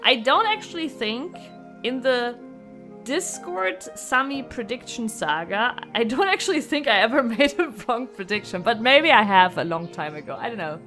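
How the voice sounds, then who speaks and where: A woman chatting casually as a game streamer, in a rambling, animated way with casual swearing. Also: a little high, moderate pace. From Germany. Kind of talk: casual live gameplay chat. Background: music.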